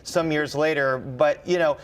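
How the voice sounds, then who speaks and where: A man's voice: on the high side, fairly even intonation, measured pace, slight background noise. An American man, a reporter, explaining news in a conversational way, thinking aloud as he goes. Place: TV studio.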